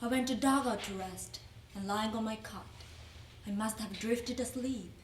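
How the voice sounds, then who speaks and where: A woman giving a deliberate, expressive dramatic reading of a wartime letter for a readers theater. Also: fairly high pitch, a little hiss or hum. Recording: echoey room.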